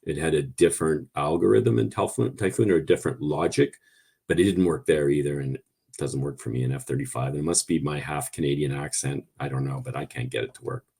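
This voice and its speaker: A man's voice: a bass voice, a little fast, creaky, mellow, husky. A man in his sixties, a former test pilot, telling blunt, emphatic stories as a guest in a casual podcast chat.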